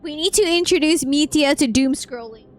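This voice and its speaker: A woman's voice: high voice, moderate pace. A woman streamer giving playful, casual live game reactions, often repeating words and phrases. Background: game audio and music.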